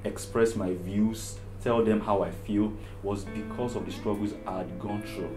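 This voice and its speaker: A man from Africa, a therapist, giving an earnest personal testimony in a loud, strong voice and repeating phrases for emphasis. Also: on the low side, fairly even intonation, a little slow. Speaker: problem management therapist. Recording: roomy echo with guitar music behind.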